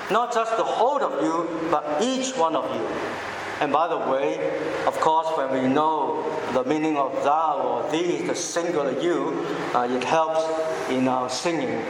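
Guy preaching in a big echoey church, chinese is his first language, slow and teacherly, keeps asking the room questions, noisy hall. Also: a little high. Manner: deliberate, teacherly, asks the audience rhetorical questions. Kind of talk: sermon. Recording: echoey hall with background noise.

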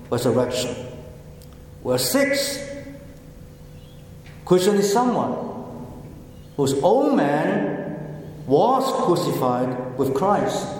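Slow-preaching man, Chinese native speaker. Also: a little high, big pitch swings, a little mellow, slight background noise. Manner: slow, deliberate, repeating key phrases for emphasis. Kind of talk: sermon. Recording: echoey hall, heard from a distance.

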